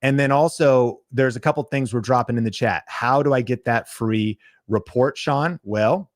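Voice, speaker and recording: A podcast host giving an energetic sales pitch on a livestream, talking straight to his viewers. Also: moderate pace, no room sound, clean studio sound.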